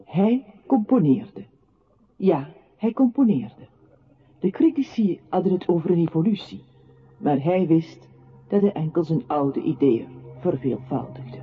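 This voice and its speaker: An actress playing a narrator in a lyrical radio play, reciting in a solemn, measured way. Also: female voice, fairly low pitch, animated, slightly rough, faint music behind, heavily acted. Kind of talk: literary radio drama recitation. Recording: tinny, band-limited old radio-play recording with noise.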